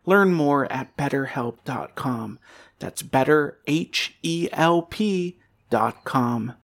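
A man's voice: fairly high pitch, lively intonation, slow, vocal fry. A man delivering a scripted sponsor message as an ad announcer.

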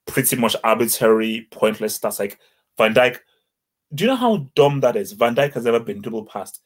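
Nigerian guy ranting about football stats, blunt and lively, middle pitch. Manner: animated, blunt, repeats words when excited. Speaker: football podcast co-host. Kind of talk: casual football chat.

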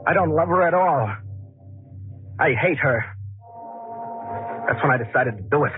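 An actor playing a volatile, manipulative ex-boyfriend in a 1940s radio drama, slangy and swinging between coaxing and bullying. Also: male voice, a little high, expressive, measured pace, soft background music. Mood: brooding narration. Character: volatile, manipulative male ex-boyfriend, wheedling then menacing. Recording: tinny, muffled old 1940s radio recording.

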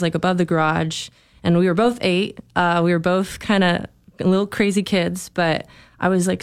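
A woman's voice: fairly low pitch, clean tone, dry recording, studio quality. A young woman musician being interviewed on the radio, chatting casually with fillers and little laughs. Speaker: guest musician.

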